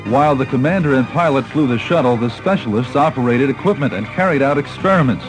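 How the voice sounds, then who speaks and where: A man's voice: mid-range voice, rough recording. A man with an American accent reads scripted documentary narration, recorded on a 1980s cassette tape. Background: background music.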